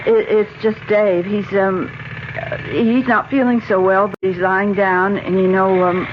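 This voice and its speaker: An actress plays a frightened, protective wife in a vintage radio play. She speaks in a mid-range voice, halting and anxious. The old recording is dull, with obvious noise behind her. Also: medium pitch, steady tone, natural voice. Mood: nervous. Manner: hesitant, anxious, pleading. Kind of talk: old-time radio drama acting.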